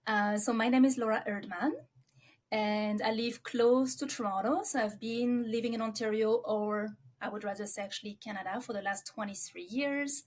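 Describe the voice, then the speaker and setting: A woman's voice: a little high, fairly even intonation, normal speed. A woman in her forties from France, with a French accent, talking reflectively about herself as an interview guest. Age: 40s.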